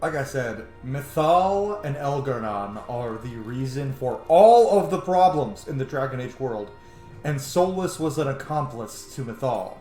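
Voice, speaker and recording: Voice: mid-range voice, animated, a little slow, warm tone. A gamer giving informal, sweary commentary and reactions while playing. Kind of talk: casual gameplay commentary. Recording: roomy echo, faint music behind. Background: faint game music.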